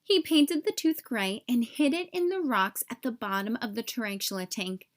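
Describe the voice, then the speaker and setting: Female storyteller, high voice, expressive picture-book read-aloud for young children. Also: normal speed.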